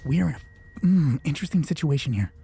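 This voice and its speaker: A man with a slightly high, husky and mellow voice plays a solo tabletop game aloud. He narrates casually and swears freely while reasoning through each ruling, with music playing underneath. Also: expressive, a little fast. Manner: foul-mouthed, talks to himself through rulings. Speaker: solo roleplay player and narrator.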